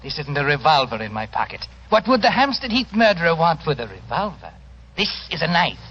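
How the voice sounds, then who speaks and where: An actor playing a mad murderous waxworks proprietor in 1940s radio style, over-polite, hesitant and full of gloating menace. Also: male voice, on the high side, sing-song, fairly quick, slightly rough, heavily acted. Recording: muffled, noisy 1940s radio recording.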